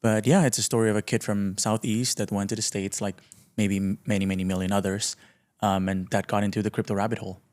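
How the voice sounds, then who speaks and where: A man's voice: on the low side, flat delivery, quick pace, darkish tone. A man in his late twenties from Indonesia, talking casually and self-deprecatingly as a podcast guest in an informal, slangy way. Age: late 20s. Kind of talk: casual conversational interview.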